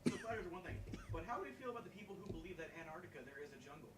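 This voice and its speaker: A man's voice: fairly high pitch, animated, rapid-fire. A man bantering casually as a co-commentator, joking and jumping in with interjections. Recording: roomy, distant mic with loud noise.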